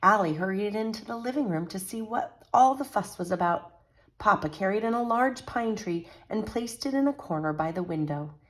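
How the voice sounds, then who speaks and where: A woman reading a children's picture book aloud as a storyteller, expressive and teacherly. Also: medium pitch, normal speed.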